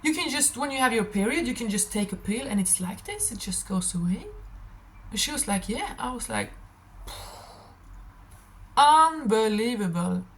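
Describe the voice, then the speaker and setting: A young woman, non-native English speaker, with a slightly raspy voice, chatting casually and telling stories in a scattered, animated way as she hosts a tarot reading. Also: a little high, normal speed, long pauses, low-quality recording. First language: not English. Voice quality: a little raspy. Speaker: tarot reader hosting a stream. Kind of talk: casual rambling monologue.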